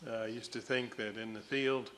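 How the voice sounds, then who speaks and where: An older man giving casual, halting, folksy narration as a hobbyist at his workbench. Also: mid-range voice, fairly even intonation, fairly bright tone, a little hiss or hum. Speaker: radio restoration hobbyist and host.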